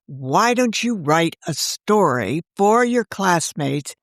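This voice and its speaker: A woman's voice: on the low side, big pitch swings, slow pace, no room sound, clean studio sound. A woman in her sixties, a novelist guest, talking earnestly in a rambling, casual way with frequent restarts. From United States. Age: 60s. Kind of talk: casual interview conversation.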